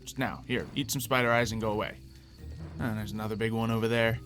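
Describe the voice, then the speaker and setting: A man hosting a gaming video, giving casual commentary as he thinks out loud and explains what he is doing in a conversational way. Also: fairly low pitch, steady tone, fast. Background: background music.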